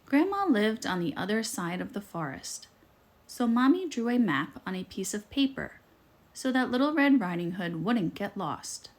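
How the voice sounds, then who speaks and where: A woman reading a scripted children's fairytale aloud in a deliberate, expressive storytelling manner. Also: medium pitch.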